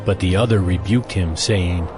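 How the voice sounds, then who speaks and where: A man narrating a dramatized scripture audiobook in a measured, solemn, articulate way. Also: deep voice. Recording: background music under narration.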